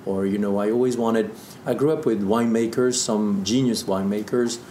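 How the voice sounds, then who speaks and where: Deep-voiced Frenchman telling his story. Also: fairly even intonation, moderate pace, mellow. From southern Burgundy, France. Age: 50s. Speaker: interview guest, distiller. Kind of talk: casual storytelling interview. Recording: echoey room with background noise.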